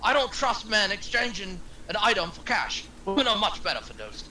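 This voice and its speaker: A man's voice: high-pitched, very animated, moderate pace, pushed and bright, full character voice, low-quality recording. A man voicing a bullying, contemptuous British crime boss in his fifties at a script table read. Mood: dismissive. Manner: gruff insults, commanding. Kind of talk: amateur screenplay table read.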